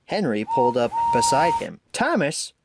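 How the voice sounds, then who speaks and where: A man reads a children's story aloud as narrator. He takes it slowly and carefully, with lively, expressive movement in pitch. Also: very noisy. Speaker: amateur story reader.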